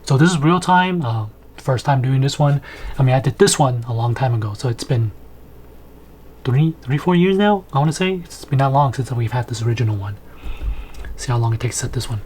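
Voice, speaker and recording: A man's voice: mid-range voice, a little fast, darkish tone, slightly rough. A man in his thirties giving casual, conversational unboxing and setup commentary as he thinks aloud in a room at home. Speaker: video host, product reviewer. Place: small home room, indoors.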